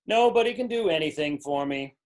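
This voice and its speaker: A man's voice: on the high side, animated, brisk, lightly acted. A man playing a demanding, fretful king in a storybook readers theater, complaining and insistent. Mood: mournful. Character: petulant, demanding king, fretful and easily enraged.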